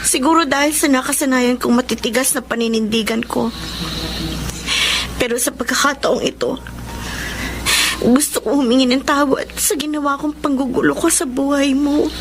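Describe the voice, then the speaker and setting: A proud yet remorseful young woman in a Filipino radio drama. Her voice is high and earnest, with clear noise on the recording. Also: fairly even intonation, normal speed, long pauses. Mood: earnest, pleading.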